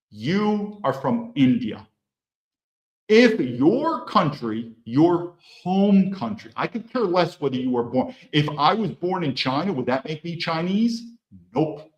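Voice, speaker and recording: A man's voice: fairly high pitch, very animated, measured pace. A man hosting his own channel, talking through a commentary monologue in a blunt, opinionated way and firing off rhetorical questions. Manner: rhetorical, peppers talk with questions. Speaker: host. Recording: echoey room.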